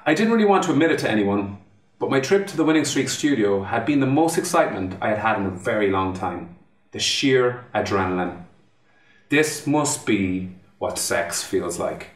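A man's voice: lowish voice, animated, moderate pace. An Irish man in his thirties reading a book aloud dramatically. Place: indoor room with piano. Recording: roomy, somewhat distant microphone.

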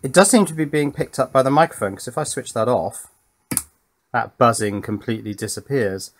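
A man's voice: mid-range voice, brisk, slight background noise. A man giving a casual, hands-on technical explanation in an informal, methodical way, as a tutorial host. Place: indoors at a workbench.

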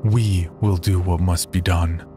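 A man's voice: low voice, fairly even intonation, measured pace, over music, voice pushed down. A man voicing a cold, disdainful frontier man who speaks curtly. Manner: cold, curt.

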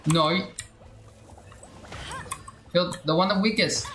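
A game streamer with a bright, forceful voice talks in unhurried bursts. The commentary is casual and excitable, with repeated phrases and little exclamations, in a roomy space with game music behind. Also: medium pitch. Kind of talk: casual live gameplay commentary.

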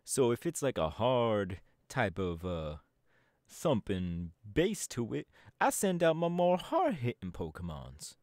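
A man's voice: mid-range voice, wildly swooping pitch, slow pace, a little mellow, no room sound, clean studio sound, full character voice. A man voice acting a laid-back young male DJ gang boss in an ASMR audio roleplay, talking in an easygoing, chatty drawl. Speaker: voice actor.

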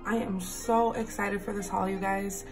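A woman in her thirties with a raspy, slightly deep morning voice, chatting enthusiastically while flipping through planners at home. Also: fairly even intonation, moderate pace, over music. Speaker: planner vlogger. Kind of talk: casual haul and flip-through.

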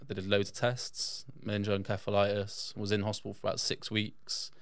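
A man's voice: lowish voice, monotone, normal speed, no room sound. A man from England, a podcast guest telling personal stories in a casual, conversational way. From England, born in Yorkshire, raised in south-west England. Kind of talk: casual personal storytelling in an interview.

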